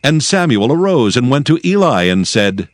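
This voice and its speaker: A man narrating a dramatized scripture reading in a solemn, measured, expressive way. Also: mid-range voice, dry recording.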